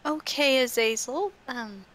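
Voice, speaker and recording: A woman's voice: sits high, a little slow, fairly bright tone. A woman acting as game master, narrating and improvising lines in a tabletop roleplaying game.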